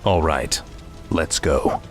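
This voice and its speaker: A man's voice: a bass voice, slow pace, music in the background. A man playing an arrogant, commanding undead death knight prince in a video game, speaking curtly. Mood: weary resolve. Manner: commanding, curt. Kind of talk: video game cutscene acting.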